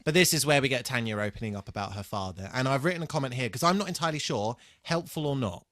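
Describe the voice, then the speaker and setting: A man co-hosting a podcast in casual conversation, picking his way through his points haltingly with dry asides. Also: mid-range voice, animated, a bit bright, dry recording. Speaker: podcast co-host. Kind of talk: casual chat, re-watch commentary.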